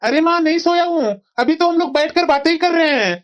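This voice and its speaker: A muffled, lo-fi recording of a mild young cartoon son, newly married a woman acting him. The voice is extremely high and childlike, with a polite, easygoing, obedient manner. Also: fairly quick, a little hiss or hum. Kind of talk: Hindi family cartoon voice acting.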